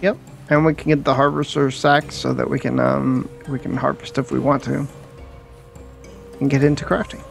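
A man's voice: mid-range voice, level tone, moderate pace, creaky, soft background music. A man co-hosting a let's-play, laid-back, giving short replies and laughing often. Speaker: co-host. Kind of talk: casual gameplay chat.

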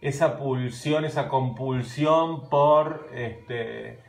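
A man's voice: medium pitch, very slow, creaky, faint music behind. Chatty Argentine man in an echoey kitchen. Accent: Argentine Spanish. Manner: chatty, animated, gesturing while talking. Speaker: live stream host. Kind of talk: casual live stream chat. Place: home kitchen at night, indoors. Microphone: phone camera at arm's length. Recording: roomy, echoey room.

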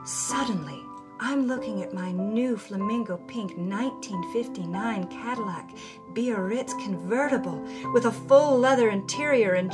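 A woman's voice: medium pitch, natural voice. A woman playing a worldly 1950s starlet who tells her own story in a punchy, hard-boiled audio memoir. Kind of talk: dramatic first-person audio memoir. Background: music under the voice.

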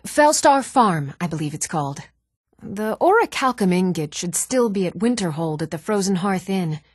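An actress playing an earnest, even-toned woman in a fantasy video game. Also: lowish voice, normal speed, slightly rough, no echo, studio quality. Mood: scatterbrained, eager. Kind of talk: video game character dialogue.

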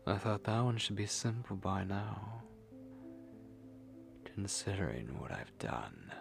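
A man playing a menacing, possessive, courtly vampire lord in an ASMR roleplay, speaking in a breathy, deliberate whisper with lingering pauses. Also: deep voice, lively intonation, music in the background, heavily acted. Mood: smug, possessive. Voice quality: breathy whisper with gravelly creak.

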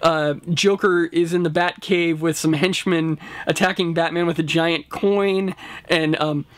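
A middle-aged man co-hosting a casual top-five discussion, explaining his picks in a halting, conversational way. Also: a little high, fairly even intonation, a bit bright, clean tone, no room sound. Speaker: co-host of a film and TV review show. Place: indoor room lined with shelves of books and discs.

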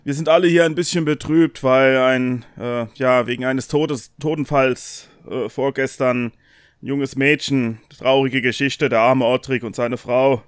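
A man voicing a mournful, courteous town guard who speaks haltingly in a tabletop game. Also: mid-range voice, fairly even intonation, clean tone, dry recording, studio quality, close to an everyday voice. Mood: subdued, sad. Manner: halting, hesitant.

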